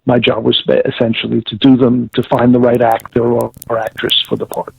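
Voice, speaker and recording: A man answering interview questions as a producer, measured and wry, searching for his words. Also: medium pitch, little pitch movement, creaky, darkish tone, breathy edge, no room sound. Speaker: audiobook producer being interviewed. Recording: tinny, dry phone line.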